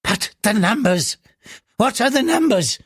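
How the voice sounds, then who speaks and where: A man's voice: high-pitched, sing-song, a little slow, fairly bright tone, breathy edge, dry recording, studio quality, voice pushed up, heavily acted. A man doing an anxious frail old gambler. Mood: desperate. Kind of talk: audiobook character voice.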